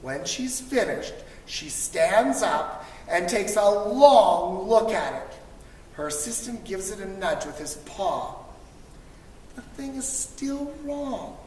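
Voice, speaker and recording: Lady doing expressive storytime for kids, takes it slow, kinda distant and echoey with a bit of hiss. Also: mid-range voice. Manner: expressive, dramatic emphasis with drawn-out words. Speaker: storybook reader for school children. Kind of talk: animated read-aloud of a children's picture book. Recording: distant mic, echoey reverberant room, faint noise.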